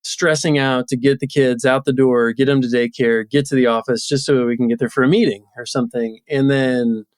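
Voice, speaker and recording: A man's voice: mid-range voice, steady tone, a little fast, few pauses, smooth, no room sound. A man in his thirties talking casually and reflectively as a guest in an interview. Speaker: podcast guest, entrepreneur.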